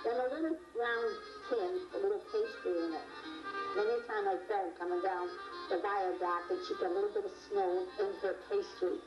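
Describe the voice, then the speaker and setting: A woman in an interview, describing homely details carefully. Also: medium pitch. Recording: roomy lo-fi recording with music under.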